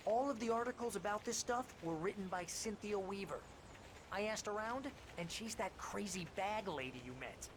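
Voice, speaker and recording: An actor playing an excitable, chatty, brash agent and best friend in a video game. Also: male voice, high voice, normal speed. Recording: clear ambient background noise.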